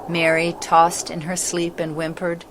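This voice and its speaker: A woman's voice: on the low side, monotone, unhurried. A woman narrating a children's story from a script. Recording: clearly noisy background ambience.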